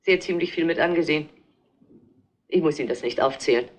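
A middle-aged actress playing a proud, grieving mother in a German crime drama, speaking in a deliberate, halting, emphatic way. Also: sits low. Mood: controlled grief. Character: grieving, proud mother defending her dead daughter.

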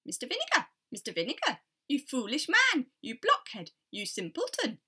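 A woman's voice: wildly swooping pitch, moderate pace, sharp tone, breathy edge, heavily acted. A woman voicing a talking parrot that shrilly scolds a foolish man. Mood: scolding. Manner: shrill, mocking, relentless scolding.

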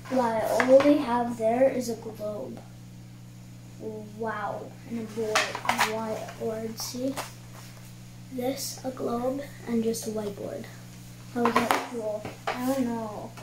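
A child reading a picture book aloud in a halting way, sounding out words. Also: medium pitch, little pitch movement. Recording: roomy echo, clear background noise.

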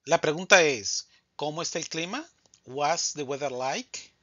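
Male language teacher running a slow drill, bright tone, dull lo-fi dry recording. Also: a little high, no room sound. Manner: slow, deliberate, says each answer twice. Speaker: Spanish teacher. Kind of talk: scripted vocabulary drill.

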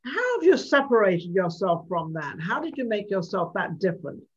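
A woman's voice: mid-range voice, big pitch swings, normal speed, breathy edge. An older woman hosting a talk show, asking long, rambling, chatty questions over a video call. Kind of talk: interview questions. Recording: slightly dull video call audio.

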